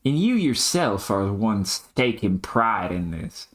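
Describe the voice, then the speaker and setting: A man voicing an aloof, pedantic artificial intelligence in amateur scripted dialogue, deadpan and brusque, with wordy, technical phrasing. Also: on the low side, measured pace. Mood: measured, firm.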